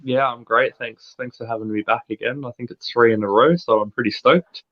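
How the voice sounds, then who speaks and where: An Australian man guesting on a football podcast, giving upbeat, measured opinions. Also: medium pitch, steady tone, lo-fi sound. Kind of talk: casual sports chat.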